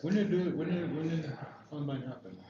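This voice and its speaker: A man's voice: a little high, brisk. A man in his forties from Colorado, talking in casual conversation. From Littleton, Colorado, United States. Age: 40s. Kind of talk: casual chat. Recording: distant echoey room, muffled, crowd chatter. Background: crowd chatter.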